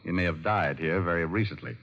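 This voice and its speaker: An actor playing a shrewd, confident private detective, wry and colloquial, in an old-time radio drama. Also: male voice, sits low, fairly quick, vocal fry. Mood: brisk, shrewd. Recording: dull vintage radio recording.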